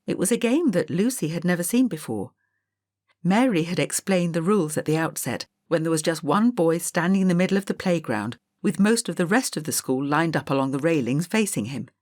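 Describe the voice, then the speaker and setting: A woman's voice: on the low side, lively intonation, brisk, a little mellow, dry recording, clean studio sound. A woman reading a scripted children's story as an audiobook narrator.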